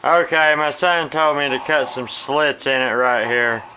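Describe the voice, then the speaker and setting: A man's voice: mid-range voice, measured pace, slight background noise. A man in his thirties with a Southern American accent, casually walking viewers through cleaning and pan-frying a fish in his home kitchen, recorded on a phone. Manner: folksy, relaxed, talks to viewers as "guys". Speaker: cooking channel host. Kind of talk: casual cooking demonstration. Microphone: phone camera.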